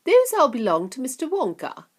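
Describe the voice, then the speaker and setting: A woman's voice: high-pitched, wildly swooping pitch, moderate pace, voice pushed up, full character voice. A woman reading an audiobook, voicing an indulgent mother who speaks soothingly and placatingly.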